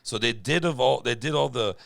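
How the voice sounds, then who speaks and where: A man's voice: mid-range voice, fairly quick, gravelly, a bit bright, dry recording. A man co-hosting a podcast in casual, slangy chat with some profanity. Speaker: podcast co-host.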